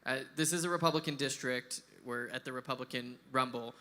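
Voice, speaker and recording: A man moderating a political debate in a casual, quick-talking way. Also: mid-range voice, bright, forceful tone. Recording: long reverb in a large venue.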